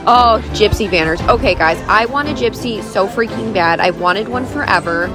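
A woman's voice: medium pitch, normal speed, over music. A woman in her thirties narrating a casual vlog in a gushing, enthusiastic manner. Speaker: vlogger and horse owner.

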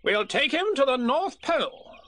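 A man's voice: big pitch swings, pushed and bright, exaggerated voice. A man reading a storybook aloud, voicing a fussy, self-important male rabbit. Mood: bossy. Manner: brisk, self-important, high-pitched.

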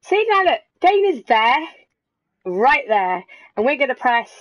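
A woman's voice: high voice, big pitch swings, measured pace, dull audio. Chatty Welsh woman gaming. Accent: Welsh, softened by London. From Wales. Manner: chatty, jokey, swears. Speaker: let's play streamer. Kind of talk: casual gameplay commentary.

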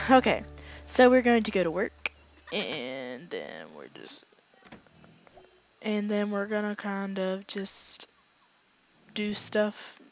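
Hoarse young woman rambling through gameplay. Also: mid-range voice, lively intonation, slow, long pauses, sharp tone, soft background music. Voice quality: raspy. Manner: rambling, filler-heavy, chatty. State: hoarse, voice fading from illness. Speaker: gaming channel host. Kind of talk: casual let's play commentary. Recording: slightly dull lo-fi home recording.

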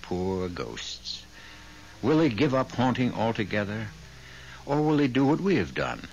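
An actor playing a wry, ominous host of a radio mystery show, speaking in a theatrical, measured way. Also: male voice, lowish voice, sing-song, a little mellow. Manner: theatrical, wry, measured delivery with drawn-out phrases. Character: wry, ominous male host of ghost tales. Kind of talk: dramatic 1970s radio narration. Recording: muffled, hissy old radio broadcast.

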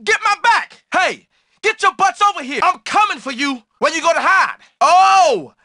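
A man's voice: extremely high pitch, expressive, normal speed, bright, forceful tone, no room sound, clean studio sound, heavily acted. A man voicing a cocky, slangy young streetwise jock for a video game, full of brash attitude. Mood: cocky, combative. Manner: slangy, brash trash talk. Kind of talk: video game character barks.

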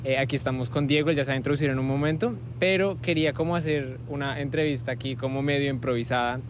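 A man's voice: medium pitch, fast. Young guy hosting a loose campus interview, lots of "como" and "eh", outdoor street noise. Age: young adult. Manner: relaxed, conversational, many fillers like "como" and "eh". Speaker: host, medical student interviewer. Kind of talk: casual improvised interview. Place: outdoors on a university campus plaza with trees.

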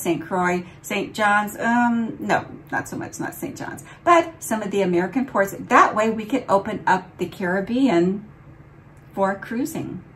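Older woman, travel vlogger, upbeat newsy read, medium pitch, faint background noise. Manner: upbeat, newsy delivery reading from notes. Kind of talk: scripted cruise news update. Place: small home office, indoors.

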